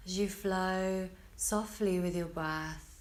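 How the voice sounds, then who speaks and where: A woman teaching yoga nidra, guiding a relaxation meditation in a soothing, unhurried way with short phrases and long pauses. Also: on the low side, fairly even intonation.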